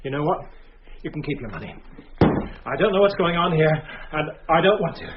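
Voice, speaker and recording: Higher-voiced agitated man played by an actor. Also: lively intonation, normal speed, lightly acted. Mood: exasperated. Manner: defensive, indignant. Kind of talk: radio drama dialogue. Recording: dull, noisy radio drama recording.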